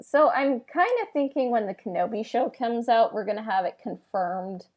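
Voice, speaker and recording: Casual, halting woman podcaster. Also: fairly high pitch, expressive, over a noisy crowd. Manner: halting, heavy use of "like". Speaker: podcast co-host. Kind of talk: casual chat about game lore.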